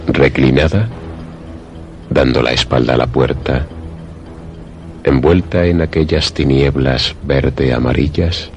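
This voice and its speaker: A man narrating a scripted suspense radio play in a measured, literary storytelling manner. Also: extremely low pitch, a little mellow. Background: music under the voice.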